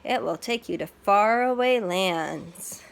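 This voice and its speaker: A woman's voice: medium pitch, slow, creaky. A woman voicing an informative, welcoming tour guide in a picture book, explaining things in short simple sentences.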